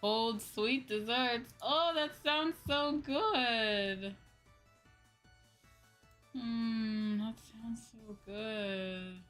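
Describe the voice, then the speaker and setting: A woman hosting a casual livestream, chatting with viewers in a drawn-out, exclamatory way and often repeating her phrases. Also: on the high side, fairly bright tone, faint music behind. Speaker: livestream host.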